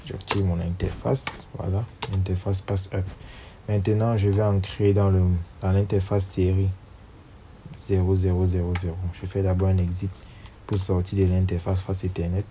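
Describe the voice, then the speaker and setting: A man's voice: low-pitched, background noise. A man with a cold narrating a step-by-step screen tutorial, reading each command and number aloud as he types. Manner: steps through commands aloud, spelling out numbers. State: has a cold. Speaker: networking instructor. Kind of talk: screen-recorded tutorial walkthrough.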